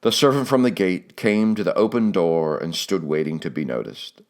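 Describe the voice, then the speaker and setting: A man's voice: deep voice, moderate pace, darkish tone. A man reading a literary audiobook as scripted narration.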